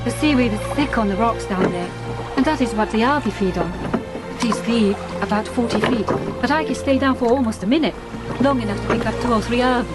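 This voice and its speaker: Actress as young Japanese diving woman, raised pitch, frank, proud, formal wording, radio play, heavy music behind. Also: quick pace, lightly acted. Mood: businesslike. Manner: direct, formal phrasing. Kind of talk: radio drama acting.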